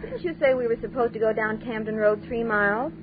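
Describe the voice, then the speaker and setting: An American actress playing an exasperated, weary, wisecracking young traveler in a 1940s radio drama. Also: female voice, on the high side, a little fast, lightly acted. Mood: incredulous. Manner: complaining, sarcastic. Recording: tinny, noisy 1940s radio recording.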